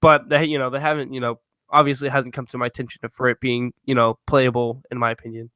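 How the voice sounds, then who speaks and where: A young man co-hosting a casual gaming podcast, loud, excitable and boastful, often feigning outrage. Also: mid-range voice, fast, clean tone, no room sound. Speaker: podcast co-host.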